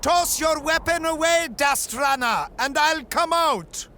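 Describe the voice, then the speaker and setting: An actor playing a bold, shrewd rival hunter in a science fiction audio drama, with a declamatory, challenging delivery. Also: male voice, very high voice, slow pace, bright, forceful tone, heavily acted. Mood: challenging, commanding.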